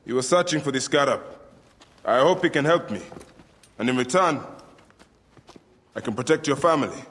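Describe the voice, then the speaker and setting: A man playing a grave but kindly warrior and protector in a video game cutscene, speaking in terse, measured lines. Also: mid-range voice, a bit bright, lightly acted. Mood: earnest. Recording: long reverb, music underneath.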